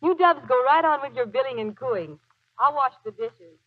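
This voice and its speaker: A woman's voice: sits high, lively intonation, moderate pace. A woman playing the wry grown daughter of an old Marine in a 1940s radio drama. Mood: teasing. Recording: dull, lo-fi 1940s radio recording.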